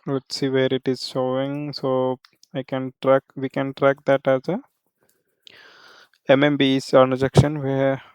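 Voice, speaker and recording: A man working as a software trainer, explaining a screen walkthrough step by step in a halting way with repeated check-in tags. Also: medium pitch, completely flat delivery, clear, resonant tone, no echo, studio quality.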